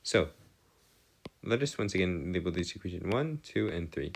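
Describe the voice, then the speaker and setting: A man giving a step-by-step math lesson as a tutor, halting and pausing to check himself, with formal, bookish wording. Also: low voice, sing-song, a little hiss or hum. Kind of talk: lesson, working through equations step by step.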